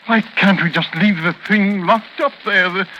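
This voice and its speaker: A man's voice: sits high, measured pace, bright, forceful tone. A man playing a timid, anxious doctor pleading in a 1940s radio drama. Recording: muffled, faintly noisy old radio recording.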